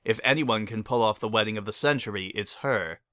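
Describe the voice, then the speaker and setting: Reader doing a calm sensible guy unicorn, plain n matter of fact, dry close recording. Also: mid-range voice, brisk, no echo, natural voice. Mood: calm, reassuring.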